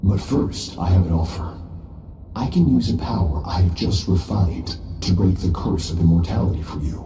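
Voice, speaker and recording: A dark, aloof master swordsman. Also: low-pitched, creaky. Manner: calm, measured, lofty. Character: aloof, self-assured male master swordsman. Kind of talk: game voice acting. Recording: roomy, distant, faint music.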